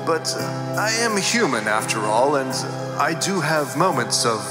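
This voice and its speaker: An actor playing a smug, awkward billionaire rocket mogul in an audio cartoon, hesitant and full of fillers. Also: male voice, a little high, sing-song, a little slow, roomy sound, loud music behind the voice. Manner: hesitant, filler-heavy, self-satisfied.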